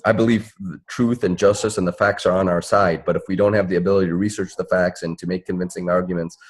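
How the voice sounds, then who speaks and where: A man's voice: low voice, steady tone, quick pace, breathy edge. A man speaking as a panelist at a town hall discussion, earnestly urging and advising, sometimes repeating words as he goes. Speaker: panelist, civil rights attorney and activist.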